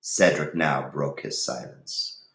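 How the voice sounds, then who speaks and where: A man's voice: a bass voice, slow pace, fairly bright tone. A man reading a story aloud in a dramatic narrating style. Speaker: reader of a storybook. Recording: roomy, echoey room.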